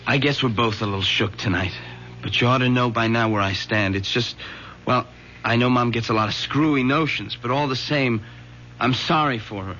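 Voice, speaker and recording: An actor playing a nervous, earnest teenage son. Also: male voice, mid-range voice, normal speed, bright, forceful tone, natural voice. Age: teens. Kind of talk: 1970s radio drama acting. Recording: dull vintage radio recording with faint hum.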